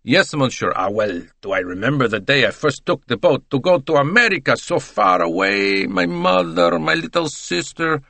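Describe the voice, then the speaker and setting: A man's voice: mid-range voice, very animated, normal speed, vocal fry, few pauses, sharp tone, no room sound, pitched above the performer's own voice, full character voice. A man voicing a voluble, boastful, beaming salesman in an audiobook. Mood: eager, boastful. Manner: gushing, eager, philosophical.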